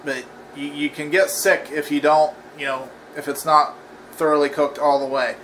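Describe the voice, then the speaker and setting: A man's voice: a little high, normal speed, a little hiss or hum, rough recording. Rambling man demonstrating a kitchen gadget. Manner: relaxed, rambling, many fillers and restarts. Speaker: cooking channel host. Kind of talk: casual cooking demo. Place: home kitchen.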